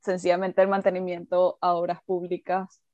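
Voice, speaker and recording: A Venezuelan woman with a Venezuelan Spanish accent, chatting casually on a podcast in a quick, emphatic way with casual swearing. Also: lowish voice, monotone, warm tone, no room sound, muffled recording. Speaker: podcast guest. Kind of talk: casual chat.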